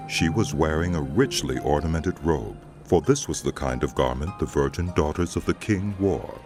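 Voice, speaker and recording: A man's voice: a bass voice, expressive, normal speed. A man reading scripted narration for a dramatized audio Bible. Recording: loud music under the voice.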